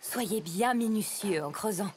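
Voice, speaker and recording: A woman's voice: mid-range voice, measured pace, darkish tone, a little hiss or hum, natural voice. A woman voicing a helpful scientist truck in a children's cartoon, patiently and carefully explaining things like a teacher. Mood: patient, instructive. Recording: music behind the voice. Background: music.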